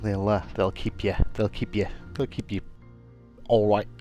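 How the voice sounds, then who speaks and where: A man's voice: lowish voice, little pitch movement, normal speed, dark tone, faint music behind. A man, a little congested from a cold, chatting casually as he streams a game and greets viewers by name. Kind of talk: casual live-stream commentary.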